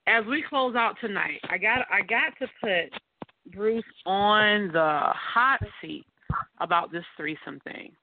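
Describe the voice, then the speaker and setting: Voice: medium pitch, lively intonation, slow pace, no room sound. A host teasing and playfully leading a casual group chat on an adult late-night show. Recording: tinny phone line with some noise.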